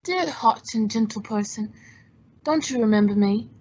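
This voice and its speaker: A woman playing a suave, wry gentleman sleuth, polished and teasing, in an old-time radio detective drama. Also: fairly high pitch, normal speed, husky, highs cut off. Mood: charming.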